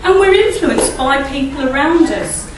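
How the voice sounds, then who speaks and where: Middle-aged woman, croaky, leading a chatty church talk at a lectern mic; distant, echoey hall with noise behind. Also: sits high, animated, moderate pace. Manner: chatty, asks the audience tag questions and laughs often. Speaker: church speaker. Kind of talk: informal talk at a church service. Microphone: standing mic at a lectern, filmed by a far camera.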